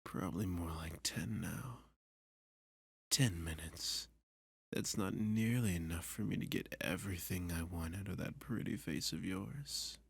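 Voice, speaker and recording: A man playing a dominant, flirty villain who taunts a hostage in an intimate ASMR roleplay, often dropping to a breathy, teasing whisper. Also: sits low, a bit bright, no room sound, heavily acted. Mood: menacing, teasing. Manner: slow, teasing, sadistic, possessive.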